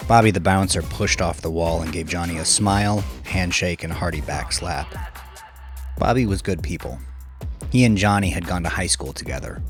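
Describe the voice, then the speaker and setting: A man with a deep, low voice narrates crime fiction from a script. Music plays behind his reading. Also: moderate pace. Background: music under the voice.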